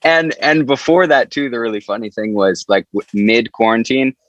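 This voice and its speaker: A man's voice: mid-range voice, lively intonation, moderate pace, a bit bright, no echo, highs cut off. A man being interviewed as a band member, talking casually in an informal, loose storytelling way. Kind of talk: casual chat.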